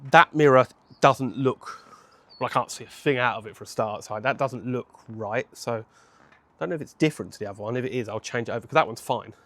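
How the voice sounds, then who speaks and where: A man, hoarse from a cold, chatting casually to camera outdoors on a home patio, rambling and correcting himself as he goes. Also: medium pitch, a little fast, fairly bright tone. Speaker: motorcycle vlogger.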